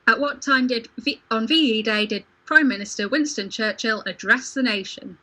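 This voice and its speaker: A woman's voice: fairly high pitch, moderate pace, bright, forceful tone. A woman hosting an informal quiz, reading out questions and answers in a chatty, casual way. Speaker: quiz host. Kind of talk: casual livestream quiz chat. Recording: home livestream video call.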